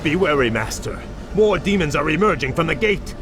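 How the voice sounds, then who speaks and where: An actor playing a young, earnest elf prince in a fantasy game, formal and deferential, with music behind. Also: male voice, a little high, animated, brisk, very noisy, close to an everyday voice. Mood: urgent. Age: young adult. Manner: formal, respectful. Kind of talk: fantasy game character acting.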